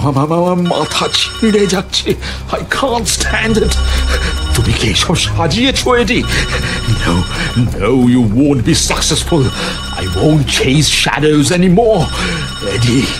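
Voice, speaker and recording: A man plays a tormented, guilt-ridden man losing his grip in an audio drama. His delivery is slow but swings widely in pitch. He repeats and stumbles over words with theatrical paranoia, over clearly audible music. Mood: desperate, frantic. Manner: stammering, repeating himself, theatrical outbursts. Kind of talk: audio drama acting, Bengali mixed with English.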